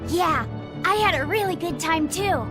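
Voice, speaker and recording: An actress voicing a shy, gentle, earnest little boy in a video game, hesitant and soft-spoken. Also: very high-pitched, animated, moderate pace, music in the background. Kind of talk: English video game voice acting.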